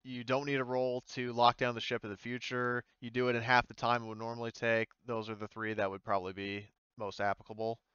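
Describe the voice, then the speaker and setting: A man's voice: mid-range voice, little pitch movement, a little fast, hardly any pauses, fairly bright tone, clear, resonant tone, no echo, muffled recording. A man running an online tabletop game as game master, explaining casually with hesitant, trailing asides. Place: indoors on an online voice call.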